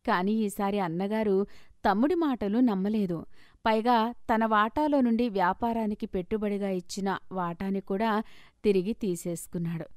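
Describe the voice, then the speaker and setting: A woman's voice: medium pitch, darkish tone, no room sound, clean studio sound. A woman reading a scripted story as its narrator.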